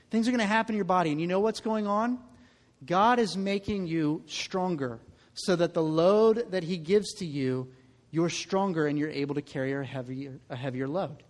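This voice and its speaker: A man's voice: high voice, big-room sound. A man in his thirties preaching a sermon as a pastor, in a conversational way, often repeating the first word of a phrase. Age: 30s.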